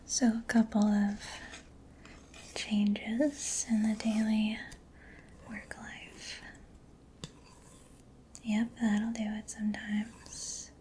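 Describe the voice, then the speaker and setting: Woman, ASMR roleplay, breathy whisper, gentle and reassuring, even intonation, slow delivery. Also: mid-range voice, a little hiss or hum. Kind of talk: soft-spoken ASMR roleplay as a mechanic examining a client.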